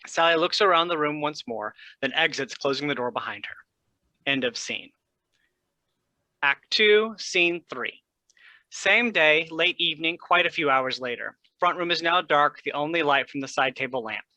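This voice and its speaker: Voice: medium pitch, expressive, moderate pace, sharp tone, dry recording. A narrator reading out stage directions in scripted style for a staged play reading.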